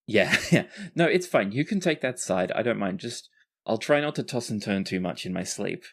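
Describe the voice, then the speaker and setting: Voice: mid-range voice, lively intonation, moderate pace, vocal fry. A game master narrating a tabletop roleplaying game in a casual way, with some swearing.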